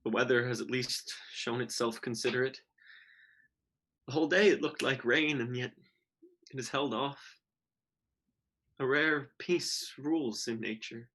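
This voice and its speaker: A man playing a bitter, despairing schoolboy, delivering a brooding, deliberate monologue in a stage-play reading. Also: medium pitch, a bit bright. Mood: brooding calm.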